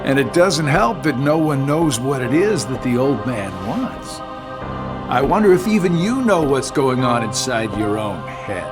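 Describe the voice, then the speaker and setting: A man's voice: mid-range voice, sing-song, unhurried. An actor playing a sly, scheming male henchman in a video game, with a casual, mocking manner. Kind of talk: video game cutscene acting. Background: background music.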